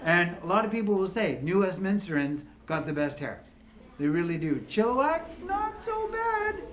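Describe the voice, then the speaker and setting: A man's voice: high-pitched, big pitch swings, normal speed, fairly bright tone. A man in his fifties from Ontario, a musician chatting and teasing an audience between songs. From Ontario, Canada. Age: 50s. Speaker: musician entertaining seniors. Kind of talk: joking banter between songs.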